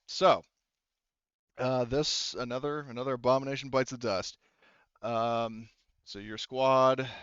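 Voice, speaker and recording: A man narrating a tabletop sci-fi game as game master, conversational and halting, repeating words, on a headset. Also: fairly low pitch, fairly bright tone, clean tone, dry recording. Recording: dull close headset sound.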